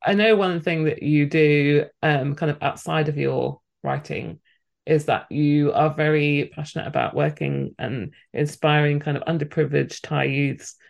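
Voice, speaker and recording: A man's voice: fairly high pitch, fairly even intonation, normal speed, creaky. A man hosting a podcast, asking friendly, rambling questions with plenty of fillers. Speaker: podcast host. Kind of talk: casual interview chat.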